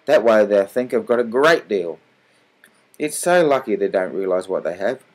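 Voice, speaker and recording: A man voicing a mean, sly salesman who flatters falsely and schemes greedily. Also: fairly low pitch, moderate pace. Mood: scheming.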